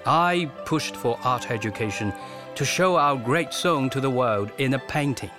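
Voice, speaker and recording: Radio drama casting: male actor as gracious ambitious monarch, measured and slow, over a musical bed. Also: medium pitch, expressive, lightly acted. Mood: regal, thoughtful. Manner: regal, measured. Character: stately, gracious Chinese emperor with grand ambitions. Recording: music behind the voice.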